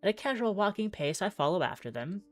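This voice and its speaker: A woman's voice: medium pitch, big pitch swings, fast, faint music behind. A woman streaming a visual novel, reading the text aloud theatrically with wry, exclaiming asides.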